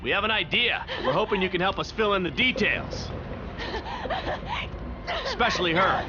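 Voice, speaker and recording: A man's voice: high-pitched, expressive, normal speed, lo-fi sound, heavy background noise. An actor playing a callous man in charge, giving curt orders with condescension. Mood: smug. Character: cold, commanding, callous villain in charge. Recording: loud music over dialogue. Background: loud music.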